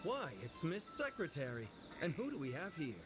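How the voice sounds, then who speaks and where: An actor playing an affable, concerned male delivery branch manager in a video game, courteous and expressive in his speech. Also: a little high, moderate pace. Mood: friendly, curious. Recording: music playing behind the voice.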